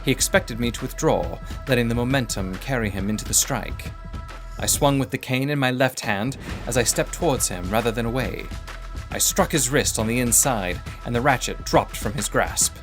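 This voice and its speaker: A man reads a first-person adventure story as its narrator. His delivery is measured and formal with a literary feel, in a mid-pitched voice over music.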